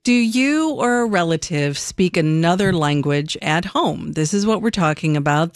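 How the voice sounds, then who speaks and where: A woman's voice: sits low, no room sound. A woman co-hosting a casual radio talk show from a studio, easygoing and chatty, asking listeners questions. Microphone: studio microphone.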